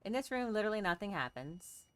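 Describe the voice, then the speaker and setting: A woman's voice: mid-range voice, very animated, fast talker, a bit bright, clean tone, no room sound. A woman giving casual play-along commentary as she works through a game.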